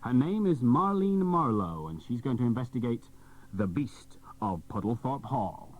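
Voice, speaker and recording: A man's voice: mid-range voice, big pitch swings, moderate pace. A man presenting scripted links on a children's radio programme. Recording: muffled, band-limited old cassette radio recording.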